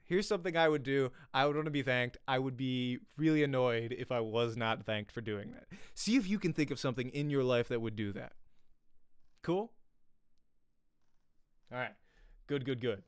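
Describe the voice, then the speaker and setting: A man, teaching an informal acting lesson in an animated, conversational way, often checking in with "right?". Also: medium pitch, normal speed, pauses often, smooth, no echo, studio quality. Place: small home room, indoors. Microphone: close desk microphone, headset on.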